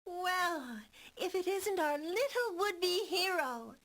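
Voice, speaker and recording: A woman's voice: very high voice, lively intonation, slow, a bit bright, soft background music, heavily acted. A woman playing a sadistic, sneering game villainess who taunts in a theatrical manner. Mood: taunting. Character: sadistic, mocking villainess with a giddy cackle. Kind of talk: theatrical 1990s game voice acting.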